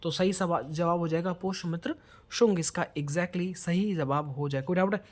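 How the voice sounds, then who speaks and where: A man's voice: sits high, moderate pace. A man teaching an exam-prep lesson in quiz style, prompting listeners with questions and mixing in English words.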